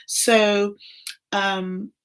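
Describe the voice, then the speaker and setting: Hoarse fifties woman, warm encouraging livestream host, chatty. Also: mid-range voice, little pitch movement, drawn-out words, rough recording. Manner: warm encouraging, greets viewers by name. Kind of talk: casual encouraging livestream chat.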